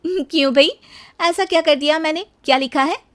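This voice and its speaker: A woman voicing an eager, determined young city-bred fashion designer wife in an animated cartoon, in an expressive way. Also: sits high, moderate pace, voice pushed up. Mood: curious, chatty.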